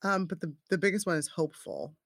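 A woman in her forties, a parent who works in scrubs, chatting casually in halting speech with many restarts. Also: lowish voice, dry recording, clean studio sound. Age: 40s. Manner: halting, restarts often, says "like" and "you know". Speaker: healthcare worker and parent. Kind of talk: casual chat.

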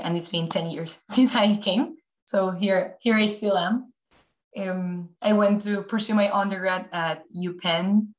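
A woman's voice: fairly low pitch, poor audio. A young woman from Ecuador, a podcast guest telling her career story thoughtfully, often restarting and repeating words. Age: young adult. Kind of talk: podcast interview.

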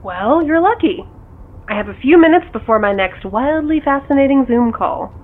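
Through a tinny phone line comes a big sister in an audio drama. Her voice is fairly high, and she talks in a dry, familiar, teasing way. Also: expressive, normal speed. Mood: teasing, wry. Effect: phone filter. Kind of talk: naturalistic audio drama dialogue. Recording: thin, band-limited phone line.

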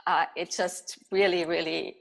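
A woman from Germany speaks as a guest on a podcast. Her voice is a little low for a woman, and she shares personal stories in a casual, conversational way. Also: flat delivery, unhurried, gravelly.

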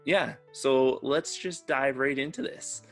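Canadian guy hosting a podcast, normal mid pitch, bit of music behind him. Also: slow, fairly bright tone. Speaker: podcast host. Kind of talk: scripted intro and podcast interview.

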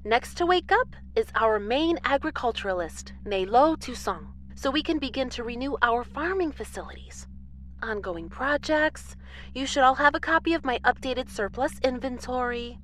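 Tidy, keen female outpost official, high-pitched, slight background noise, radio play. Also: expressive, moderate pace, fairly bright tone. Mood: businesslike. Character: eager, orderly woman moon base administrator. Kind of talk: audio drama acting.

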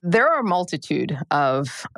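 A woman reporter explaining her reporting in a news podcast interview, clear and measured. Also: lowish voice, big pitch swings, a bit bright, no echo, studio quality.

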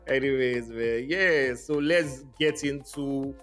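A man hosts a podcast in loose, chatty talk and laughs easily. His voice sits at an ordinary pitch. Faint music plays under him. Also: a bit bright. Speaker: podcast host. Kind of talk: casual podcast chat.

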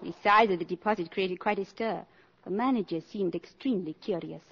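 An actress playing a poised, worldly, scheming countess with an elegant manner in a 1950s radio drama. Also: female voice, mid-range voice, fairly quick, gravelly, slightly rough. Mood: poised, pleased. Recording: muffled 1950s radio broadcast.

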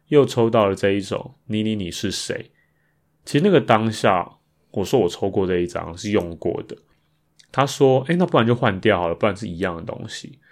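A man with a Taiwanese Mandarin accent talking casually and reflectively as he hosts a podcast about his creative work. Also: fairly low pitch. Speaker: podcast host. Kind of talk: casual podcast monologue about improvised songs.

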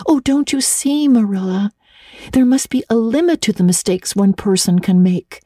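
A woman voicing an excitable, dramatic orphan girl who gushes and stammers in an audiobook. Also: mid-range voice, moderate pace, no room sound, studio quality, voice pushed up, close to an everyday voice. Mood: thrilled. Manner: breathless, gushing, stammering when upset. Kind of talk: audiobook character voice.

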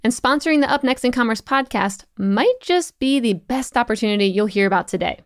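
A woman's voice: on the high side, expressive, brisk, dry recording. A woman hosting a business interview podcast, reading her intro and ad in an upbeat, polished way on a close studio mic. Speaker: podcast host.

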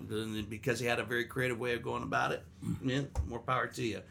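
A man hosting a podcast, joking and laughing easily as he talks. His voice has a gravelly edge and a bright, forward tone. He sounds relaxed and informal. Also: medium pitch, fast. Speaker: podcast host. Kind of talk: casual chat.

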